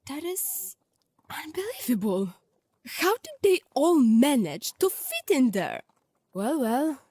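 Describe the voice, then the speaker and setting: A woman's voice: high voice, very animated, slow, warm tone, no echo, pitched above the performer's own voice, full character voice. A woman voicing a wry, teasing young woman, an elder sister in an audio drama, with a dryly musing manner. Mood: muttering amazement.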